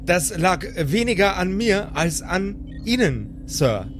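A man's voice: high voice, sing-song, takes it slowly, background noise, pitched above the performer's own voice, exaggerated voice. A man voicing a touchy, sulking spaceship computer in an improvised audio play, with formal, snippy politeness. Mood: offended.

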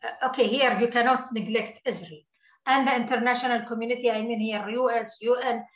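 A woman with a high voice lays out her views in a formal, measured way, like an analyst answering interview questions. Her line sounds roomy and somewhat muffled, as if coming in from a distance over a remote link. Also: fairly even intonation. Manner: measured, formal policy talk. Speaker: political analyst.

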